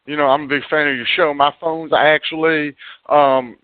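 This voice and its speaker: A man's voice: fairly high pitch, steady tone, moderate pace, no room sound. A man calling a radio show, talking in a rambling, stammering, folksy way that turns taunting. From Alabama. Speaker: caller. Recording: dry, tinny phone line.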